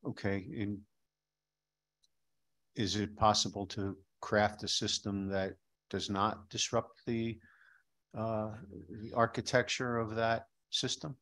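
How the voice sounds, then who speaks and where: A man's voice: fairly low pitch, flat delivery, takes it slowly, fairly bright tone. A middle-aged man questioning a witness at a legislative hearing, probing and skeptical, speaking into a desk microphone in a hearing room.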